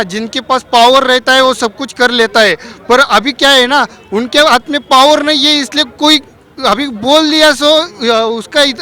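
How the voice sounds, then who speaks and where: A young man from Maharashtra with a Marathi accent, answering in a casual street interview, halting as he searches for words. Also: extremely high pitch, pushed and bright. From Maharashtra, India. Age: young adult. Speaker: party supporter interviewed.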